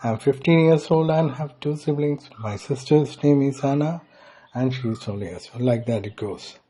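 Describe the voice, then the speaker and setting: A man giving an instructional language lesson as a teacher, deliberately sounding things out piece by piece. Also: medium pitch, dark tone, breathy edge. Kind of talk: slow instructional lesson with repetition.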